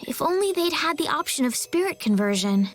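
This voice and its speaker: A high, lilting young noblewoman in a fantasy game. She is sweet, polite and earnest, speaking tenderly with lots of melody. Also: big pitch swings, a little fast, soft background music. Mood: gentle, earnest. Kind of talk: English game dub voice acting.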